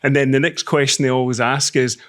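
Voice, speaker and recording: A middle-aged man with a Scottish accent, a podcast guest who talks in a measured, self-deprecating way with frequent restarts. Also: mid-range voice, fairly even intonation, a bit bright. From Scotland. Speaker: podcast guest, semiconductor executive. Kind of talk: casual interview talk.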